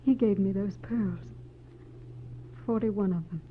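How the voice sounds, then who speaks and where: A woman's voice: mid-range voice, slow pace, natural voice. An actress playing a wistful, secretive married woman in a 1940s radio detective drama, speaking earnestly and a little hesitantly. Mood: wistful confession. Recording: tinny, noisy, humming 1940s radio recording.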